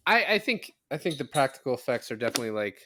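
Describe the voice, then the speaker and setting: A man's voice: mid-range voice, very animated, quick pace, pushed and bright, slight background noise. A man talking casually about films as a podcast co-host, explaining things in a conversational way. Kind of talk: casual film discussion.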